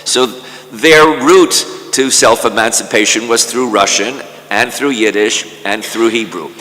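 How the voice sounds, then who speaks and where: An older man from Canada giving a measured academic lecture at a conference, often repeating words as he restarts a thought. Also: mid-range voice, bright, forceful tone, big-room sound, rough recording. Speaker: conference speaker. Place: conference hall.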